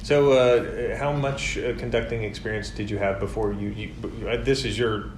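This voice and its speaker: A man hosts a casual interview, putting friendly questions and reacting briefly. The room is echoey, and steady background noise sits under his voice. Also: lowish voice, moderate pace. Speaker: interviewer for a conducting workshop.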